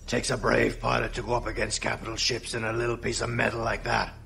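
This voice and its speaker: A man playing a gruff, wry veteran crew boss in a space audio drama, with a gravelly, folksy way of talking. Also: medium pitch, completely flat delivery, quick pace, sharp tone, slight background noise. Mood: admiring, reflective.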